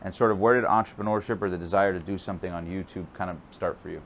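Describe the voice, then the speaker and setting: Brief: male show host, deeper voice, upbeat casual interviewer who laughs easily. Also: fairly even intonation, rapid-fire, fairly bright tone, a little hiss or hum. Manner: upbeat, laughs often, hype-style intro. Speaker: podcast host.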